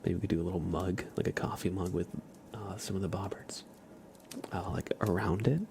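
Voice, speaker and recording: A man hosting a casual ASMR livestream, speaking softly and informally, often slipping into a breathy whisper. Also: low voice, brisk, noisy background. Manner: relaxed, informal, uses y'all. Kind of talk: casual ASMR stream chat.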